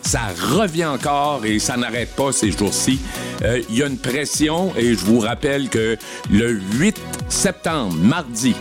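A man's voice: mid-range voice, animated, a little slow. A man with a Quebec French accent giving an informal, conversational morning radio news briefing. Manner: informal, addresses co-host directly. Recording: music clearly playing behind voice. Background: instrumental music.

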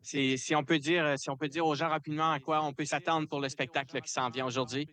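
A man doing a live radio report from an outdoor event, briskly handing back to the studio. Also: on the high side, fairly even intonation, a bit bright. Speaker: field reporter.

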